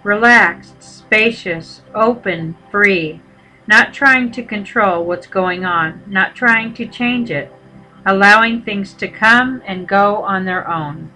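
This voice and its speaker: A woman meditation teacher leading a guided meditation in an unhurried, measured way, with pauses between short phrases. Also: mid-range voice, pushed and bright, faint music behind, low-quality recording.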